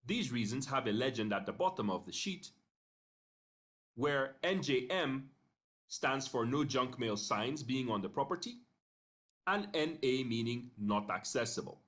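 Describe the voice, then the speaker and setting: A man narrating an instructional screencast in a measured, step-by-step way, pausing briefly between phrases. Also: mid-range voice, expressive, a bit bright. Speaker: software tutorial narrator.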